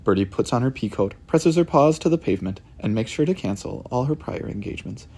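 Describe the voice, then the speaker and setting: A man reads his rhyming picture book aloud with a gravelly, husky voice and a warm, dark tone. His delivery rises and falls dramatically, bringing each line to life. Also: mid-range voice, fairly quick. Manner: animated, expressive storytelling. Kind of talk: rhyming storybook read-aloud.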